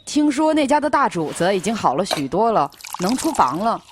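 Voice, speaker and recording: Lively, fretful attendant, voiced by a woman. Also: mid-range voice, a little fast, slight background noise, close to an everyday voice. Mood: reporting gently. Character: fretful, caring attendant of an immortal prince. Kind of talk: Chinese audio drama acting.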